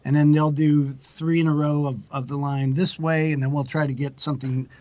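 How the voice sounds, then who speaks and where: A man with a slightly high voice chats casually as an interview guest. He explains his production work in a rambling, anecdotal way. The audio is dry and a little dull. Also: level tone, moderate pace, dark tone, no room sound.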